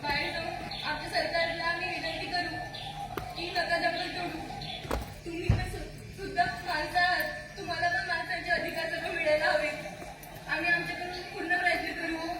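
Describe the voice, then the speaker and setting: Very echoey hall, high-pitched woman as frightened young captive, pleading, earnest, amateur stage drama. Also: flat delivery, normal speed. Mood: earnest, persuading. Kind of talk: amateur stage play acting in Marathi. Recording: very echoey noisy hall, far from mic.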